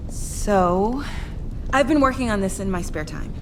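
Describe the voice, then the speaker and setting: A woman's voice: medium pitch, animated, measured pace, background noise, lightly acted. An actress playing a caring, eager young woman in a video game, talking in an earnest, direct, conversational way.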